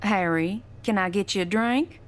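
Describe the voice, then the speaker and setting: A woman's voice: medium pitch, moderate pace, lightly acted. A woman playing a timid, curious small-town diner waitress in an audio drama, hesitant and unsure. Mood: polite, nervous.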